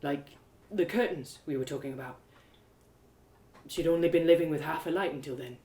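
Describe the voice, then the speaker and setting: A woman's voice: low voice, moderate pace, dark tone, lightly acted. A woman voicing a heartbroken, earnest young village man who confides in a hesitant, rustic way, for an audio drama. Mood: recollecting. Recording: roomy echo of home live reading.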